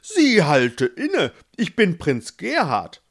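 A man's voice: medium pitch, very animated, a little slow. A man voicing a haughty, scheming prince who is the brother of the hero. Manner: haughty, impatient.

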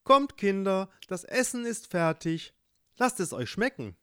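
A man's voice: fairly high pitch, big pitch swings, measured pace, voice pushed up. A man voicing a caring mother of two girls in a spoken fairy tale, calling and reassuring.

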